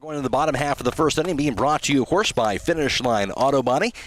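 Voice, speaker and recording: A man's voice: mid-range voice, fast, over music. A man calling a live baseball game play-by-play as a radio announcer. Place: outdoor ballpark radio broadcast.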